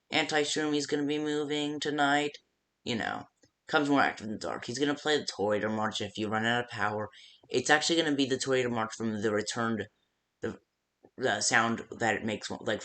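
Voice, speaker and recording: A man with a somewhat low-pitched voice talks through a homemade game guide as if leaving a casual phone message. He speaks in a loose, improvised way. His sentences halt, double back and start over as he rambles along. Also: a bit bright. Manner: rambling, halting, self-interrupting with stammered restarts. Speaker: creator of a fan-made game parody, voicing its phone-guide messages.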